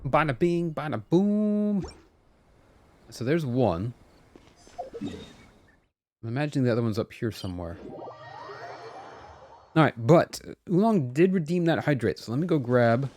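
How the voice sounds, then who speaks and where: A man's voice: medium pitch, sing-song, unhurried, pauses often, warm tone, slight background noise. A man chatting casually as a game streamer, talking to himself and to his viewers.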